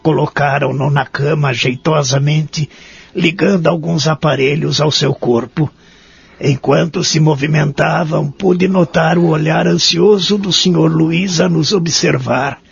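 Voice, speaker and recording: An actor playing a humble, lonely elderly Brazilian man in a radio drama, formal, sincere and deliberate. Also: fairly high pitch, steady tone, vocal fry, husky, full character voice. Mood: reflective. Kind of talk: radio drama acting and narration. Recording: dull lo-fi audio drama recording.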